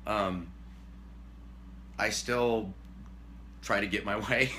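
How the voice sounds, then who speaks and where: A man in his forties chatting casually at home on a couch, rambling and self-deprecating, with a booming voice when worked up. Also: fairly even intonation, very slow, sharp tone, a little hiss or hum. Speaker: co-host, husband. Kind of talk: casual chat. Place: living room couch, indoors.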